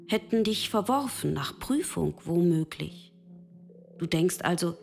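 A woman playing a lucid, patient machine in a literary radio play, lecturing the listener directly and deliberately. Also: medium pitch, lightly acted. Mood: probing, instructive. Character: love-capable female machine, lucid, patient, lecturing philosopher.

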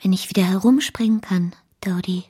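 An actress playing a gravely ill, childlike young wife in a German radio drama, with a weak, whispery, tender voice. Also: female voice, mid-range voice, moderate pace, dark tone, lightly acted. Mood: tender frailty.

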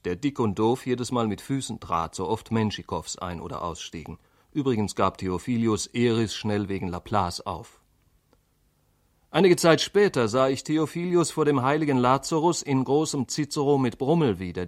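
A man with a medium-pitched voice narrates a literary monologue for radio. He delivers it as a performed piece of storytelling in a radio play.

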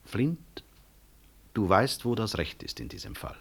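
A proud senior doctor of radio drama, a man. His voice is fairly low and husky, yet swings animatedly in pitch. He speaks incisively, with indignation held under dry irony, in a 1960s radio acting style. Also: animated, measured pace, a bit bright, full character voice. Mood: dry, sceptical. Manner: blunt, incisive, sardonic. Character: proud, principled senior physician, indignant, dryly ironic.

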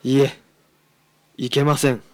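A man's voice: medium pitch, level tone, takes it slowly, natural voice. A man playing a stern, cautious chief engineer on a council, speaking formally and firmly in an amateur radio drama. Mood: stern, objecting. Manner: formal, firm, emphatic. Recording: reverberant hall, faint background noise.

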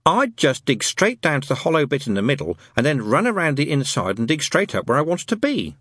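Pedantic storybook schoolboy, acted by a man: raised pitch, husky edge, doggedly reasonable. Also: huge pitch swings, fairly quick, a bit bright, no echo, studio quality, heavily acted. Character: earnest, literal-minded, bookish boy.

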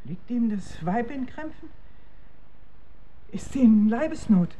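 An actress playing a reluctant, wary midwife in a historical radio play, speaking in terse, guarded, archaic phrases. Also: female voice, on the high side, lively intonation, slow pace, warm tone. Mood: wary, questioning. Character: wary, reluctant wise midwife of olden times.

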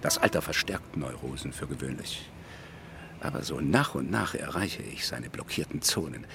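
An actor playing a self-assured, lecturing psychiatrist with a deliberate, dryly eccentric manner in a radio drama. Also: male voice, extremely low pitch, expressive, gravelly, noisy background, exaggerated voice. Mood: knowing, clinical.

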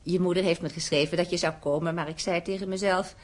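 An actress in a radio drama playing a kindly, self-deprecating old aunt with a homely manner. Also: female voice, lowish voice, steady tone, quick pace, natural voice. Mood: kindly, modest. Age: older.

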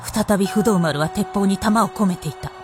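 A woman's voice: medium pitch, moderate pace. Strong music plays under a woman narrating a radio drama. She reads scripted lines in a dramatic storytelling style. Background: prominent music.

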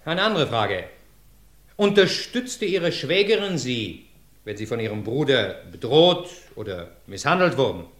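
A sharp, insistent lawyer in a German radio crime play. He questions formally and relentlessly. The tone is bright and animated, with a roomy echo around it. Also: mid-range voice, measured pace. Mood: probing. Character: sharp, persistent male courtroom lawyer. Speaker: lawyer cross-examining.

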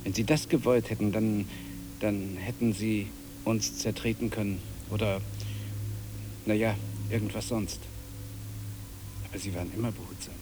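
An actor in a radio drama playing a devoted cockroach husband, anxiously optimistic, who reassures in a hesitant, earnest, self-correcting way. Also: male voice, on the low side, fairly even intonation, normal speed, pauses often, background noise, lightly acted. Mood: flustered, insistent.

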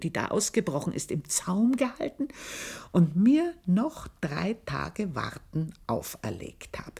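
A bitter old housemaid. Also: female voice, on the low side, a little mellow, breathy edge. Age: older. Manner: intense, confiding, scornful. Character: aging housemaid, bitter, proud, long in service. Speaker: narrator of her own story. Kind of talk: radio play monologue.

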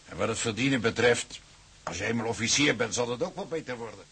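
An actor playing a homely, practical older family man in a radio drama, with a husky voice and measured manner. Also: medium pitch, pushed and bright, full character voice. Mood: relieved, reassuring. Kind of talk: Dutch radio drama acting.

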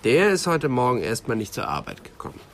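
An actor playing a wry, teasing police assistant in a radio crime drama, talking in a colloquial, dryly ironic way. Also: male voice, mid-range voice, wildly swooping pitch, fairly quick, a little hiss or hum. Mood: dry, businesslike. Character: wry, teasing male police assistant reporting to his inspector.

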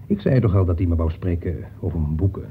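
An evasive, preoccupied husband in an old radio play. His halting speech repeats words and swings widely in pitch. The sound is boxed into a phone-like band. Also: lively intonation. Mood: evasive, irritable. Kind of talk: Dutch radio play acting. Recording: muffled, noisy old radio play recording.

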